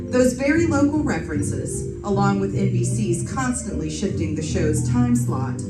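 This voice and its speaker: Female host, scripted show introduction, medium pitch, roomy distant pickup. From United States. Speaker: host and radio player. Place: live performance room. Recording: echoey, distant stage mic, loud music.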